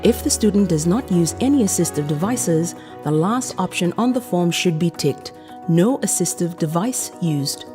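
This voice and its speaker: A woman with a slightly low voice narrates a training film over clearly audible music. Her delivery is scripted and measured, explaining each point in an instructional way. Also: lively intonation. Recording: music behind the voice. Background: background music.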